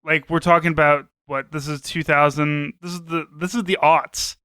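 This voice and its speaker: A man's voice: fairly high pitch, little pitch movement, moderate pace, pushed and bright, smooth, no room sound. A man co-hosting a podcast, giving casual commentary in a rambling, wry, sweary way. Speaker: podcast co-host.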